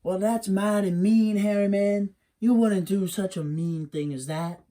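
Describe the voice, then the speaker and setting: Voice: medium pitch, fairly even intonation, measured pace, dark tone, pitched above the performer's own voice, natural voice. A storyteller voicing a clever, stubborn country mother who speaks slyly and defiantly. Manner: sly, defiant. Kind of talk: oral folktale telling.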